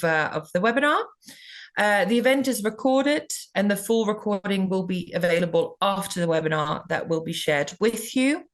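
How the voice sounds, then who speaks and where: A woman recruiter hosting a webinar over a video call, friendly and with many fillers. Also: mid-range voice.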